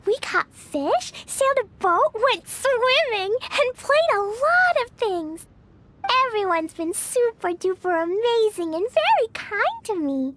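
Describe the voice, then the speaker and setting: A very high, gushing little girl. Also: sing-song, a bit bright, full character voice. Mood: gleeful. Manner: childish simple phrasing, drawn-out, gushing. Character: cheerful little girl, adoring and playful, guileless. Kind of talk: animated video game voice acting. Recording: music behind the voice.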